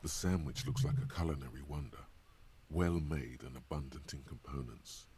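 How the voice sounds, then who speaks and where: Brooding inner voice, extremely deep, heavily rasping, slow florid game narration. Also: male voice, a little mellow, full character voice. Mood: grandiose, savoring. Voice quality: very deep, husky, raspy.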